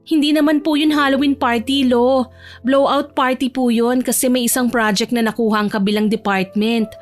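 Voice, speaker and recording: A woman playing a caring, high-voiced young granddaughter. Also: fairly even intonation, normal speed, faint music behind, close to an everyday voice. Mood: cheerful, polite. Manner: polite, affectionate. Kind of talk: Tagalog audio drama acting.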